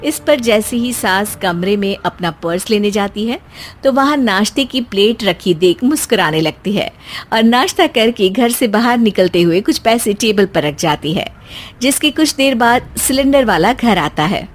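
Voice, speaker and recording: A woman's voice: medium pitch, lively intonation, moderate pace. A woman narrator reading a scripted family cartoon story.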